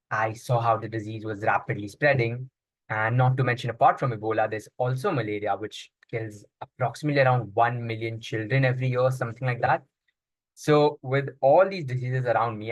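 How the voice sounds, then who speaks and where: A man's voice: medium pitch, no room sound, poor audio. A young man who grew up in Congo, answering interview questions in an explanatory way with frequent fillers and restarts. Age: young adult. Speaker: guest.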